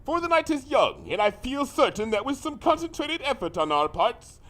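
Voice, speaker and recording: A man voicing a pompous, courtly villainous creature in a fan fiction reading, speaking formally and deliberately with theatrical menace. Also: very high-pitched, sing-song, a bit bright, full character voice. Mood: grand, inviting.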